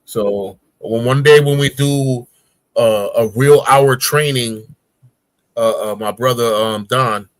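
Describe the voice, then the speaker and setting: A man's voice: mid-range voice, slow, no room sound, rough recording. A man in his forties, a guest on a casual talk show, telling stories with energy and acting out grunts and voices. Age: 40s.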